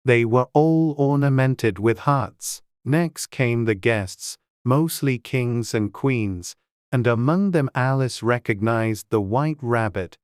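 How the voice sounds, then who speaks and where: A storybook narrator reading scripted prose in a measured, smooth voice. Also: mid-range voice, a little mellow, no echo, studio quality. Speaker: audiobook narrator.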